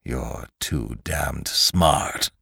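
Storybook villain, acted by a male reader: walking corpse, deep and slow, seething with murderous hate, very dry recording. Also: creaky, pushed and bright, husky, dry recording, exaggerated voice. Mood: menacing. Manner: slow growled threats, breaking into screams. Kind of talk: dramatic storybook narration.

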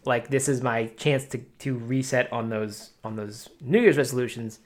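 A man's voice: medium pitch, normal speed. A man in his late thirties co-hosting a podcast, talking casually and rambling with lots of fillers and restarts. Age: 30s. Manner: rambling, self-deprecating, many fillers. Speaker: podcast co-host. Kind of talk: casual chat.

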